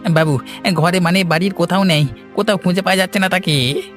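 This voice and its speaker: A man's voice: high-pitched, brisk, a bit bright, soft background music. A man playing a scheming, outwardly obsequious servant of a village master in a cartoon. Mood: servile, alarmed. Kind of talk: cartoon voice acting.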